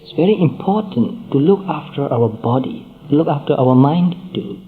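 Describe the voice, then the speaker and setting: A man giving a Buddhist dharma talk, speaking in measured phrases with frequent pauses and repetition. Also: a little high, lively intonation. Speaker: Buddhist teacher. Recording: tinny phone-like sound.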